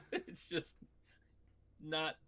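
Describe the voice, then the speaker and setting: A man's voice: on the high side, wildly swooping pitch, extremely slow, gravelly, sharp tone. A man hosting a casual podcast, chatting in a wry, bantering way. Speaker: podcast host.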